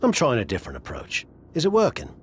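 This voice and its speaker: An actor playing a playful, scheming wrestling buddy in a video game cutscene, with a jokey, casual manner. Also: male voice, medium pitch, wildly swooping pitch, fast, husky, full character voice. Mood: playful pitching.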